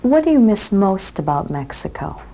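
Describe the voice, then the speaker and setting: Woman presenter asking clear questions. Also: medium pitch, big pitch swings, moderate pace, warm tone. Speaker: interviewer and presenter. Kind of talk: scripted educational interview.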